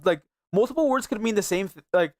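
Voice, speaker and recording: A man's voice: sits high, animated, fairly quick. A young man streaming, chatting casually and rambling with many restarts. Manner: rambling, restarts a lot, laughs often. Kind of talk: casual chat.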